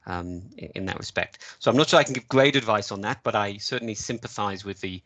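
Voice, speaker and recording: A man from the United Kingdom speaks on a video call in a chatty, rambling way, leaning on "you know" as he goes. His voice sits fairly low, and the sound is dry and close. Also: a little fast, a bit bright, dry recording. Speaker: webinar speaker. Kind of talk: casual panel answer.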